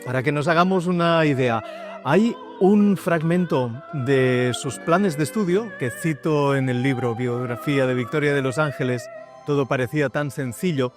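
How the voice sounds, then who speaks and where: A man from narrating a short documentary about his book, speaking in a measured, informative way. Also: fairly high pitch, over music. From Spain. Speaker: author presenting his book. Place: outdoors in city streets.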